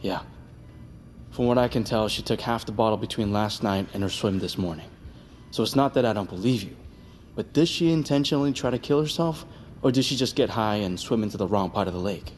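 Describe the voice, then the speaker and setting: An actor playing a calm, guarded medic and leader in an audio drama, measured and authoritative. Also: male voice, lowish voice, slight background noise, natural voice.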